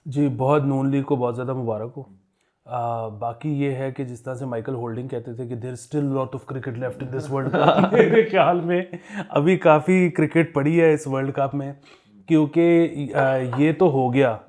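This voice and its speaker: A man's voice: medium pitch, fairly even intonation, measured pace, darkish tone. A man with a Pakistani accent chatting casually as a podcast co-host, telling anecdotes in a joking way and chuckling as he talks. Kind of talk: casual humorous current affairs chat.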